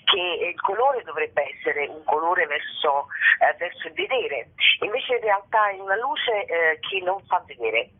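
A woman speaking haltingly as a guest in a spontaneous radio interview, searching for her words with drawn-out pauses. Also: on the low side, sing-song, breathy edge. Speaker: playwright and actress, interview guest. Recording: narrow, tinny telephone line.

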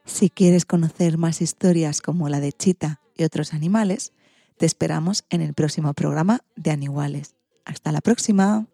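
A woman's voice: deep voice, moderate pace, creaky, dark tone, no echo. A woman presenting and narrating scripted stories for children. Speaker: co-host of an animal-stories programme for all ages.

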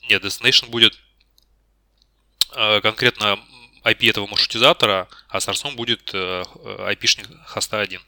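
A man with a fairly low and strikingly bright, forceful voice. He speaks as a job candidate in a technical interview, feeling his way through answers and correcting himself. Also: fairly even intonation, moderate pace, dry recording. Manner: hesitant, self-correcting. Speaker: job candidate, network engineer.

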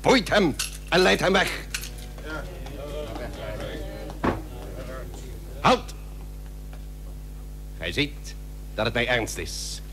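Imperious male Barbary ruler, animated intonation, threatening, archaic commands, radio play, noisy humming recording. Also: a little high, heavily acted. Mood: commanding. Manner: commanding, archaic formal speech. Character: imperious, threatening Barbary potentate haggling over cloth. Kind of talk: period radio drama acting.

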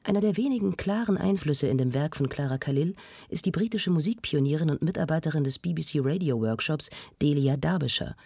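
A woman's voice: sits low, expressive, brisk, creaky, mellow, husky, no room sound, studio quality, full character voice. An actress playing a knowledgeable woman who explains things matter-of-factly in a conversational radio drama.

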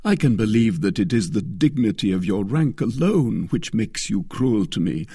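A man's voice: on the low side, animated, moderate pace, dark tone, husky, dry recording, clean studio sound. A man doing an impassioned lovestruck duke. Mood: ardent. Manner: impassioned, declamatory. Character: ardent lovestruck nobleman, passionate and pleading. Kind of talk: dramatic audiobook reading.

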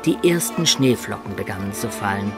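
A man's voice: fairly low pitch, animated, fairly quick, natural voice. An actor playing a medieval adventurer who recounts his own tale as narrator of a radio play. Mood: storytelling. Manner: descriptive storytelling. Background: music behind the voice.

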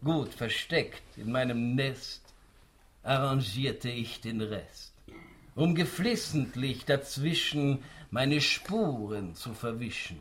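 An actor playing a smug, spry old tycoon patriarch in a rhymed radio play, theatrical and savouring each line. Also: male voice, mid-range voice, lively intonation, slow pace, fairly bright tone. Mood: sly, gleeful. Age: older.

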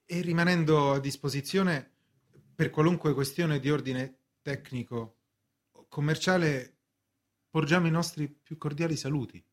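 An actor playing an evasive, composed young bank official in a radio drama, formal, measured and defensive, with a husky voice. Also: male voice, on the high side, slight background noise, lightly acted.

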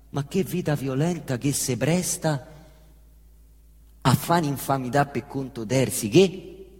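A brash young killer from the Roman outskirts, talking in swaggering slang with a thick Roman accent. His voice sits high and flips from defiant bursts to low mutters. A long theatre reverb trails behind every phrase. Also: fairly bright tone, exaggerated voice. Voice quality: slangy, swaggering street talk. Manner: swings between defiant outbursts and low muttering. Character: young male Roman slum youth, cocky killer. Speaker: stage actor in a monologue. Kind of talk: theatrical monologue. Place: theatre stage.

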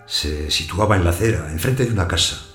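An actor playing a wary householder who narrates his own story in a scripted audio drama, in a measured, observant way. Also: sits low, lively intonation, fairly bright tone. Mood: brooding. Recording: echoey room sound, music behind.

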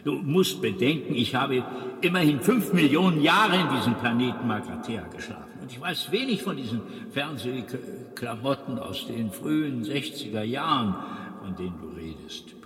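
An actor playing an ancient, eccentric alien planet designer in a German radio drama, explaining patiently with ponderous, drawn-out hesitations. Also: male voice, medium pitch, expressive, a bit bright, close to an everyday voice. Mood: patient musing. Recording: echoey hall with long reverb.

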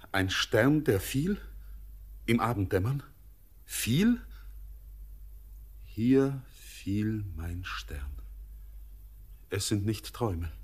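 An actor playing a haunted, yearning young stranger in a classic radio drama, declaiming poetic in a solemn, intense, husky voice. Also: male voice, on the low side, full character voice. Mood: troubled wonder.

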